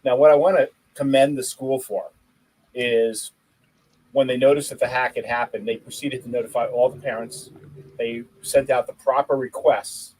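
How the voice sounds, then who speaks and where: A man co-hosting a tech podcast, chatting casually and telling a story in an animated, joking way. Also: medium pitch, normal speed, low-quality recording. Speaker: podcast co-host. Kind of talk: casual chat.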